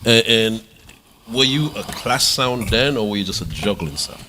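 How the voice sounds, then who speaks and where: A man's voice: lowish voice, lively intonation, sharp tone, a little hiss or hum. A man hosting a podcast, asking eager questions in a casual interview chat. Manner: eager questioner, quick backchannels. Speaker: podcast host.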